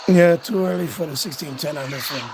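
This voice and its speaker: A man chatting casually and haltingly on a hobby live stream, muttering as he handles his gear. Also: a little high, background noise.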